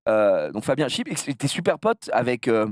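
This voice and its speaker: A man from the south of France chatting casually as an interview guest, telling anecdotes in an animated, slangy way with some crude language. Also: mid-range voice, moderate pace, dry recording, studio quality. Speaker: streamer being interviewed.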